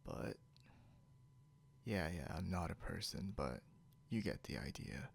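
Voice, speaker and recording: A man playing a grumpy, teasing, possessive young beast-eared hunter in an intimate ASMR roleplay, drawling drowsily and half whispering with a breathy, gravelly voice. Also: low-pitched, lively intonation, unhurried, a little hiss or hum, heavily acted. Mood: drowsy, aloof.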